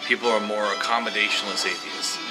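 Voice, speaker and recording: Low-voiced man talking haltingly. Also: loud music behind the voice. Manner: halting, many restarts. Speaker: host. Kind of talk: casual conversation.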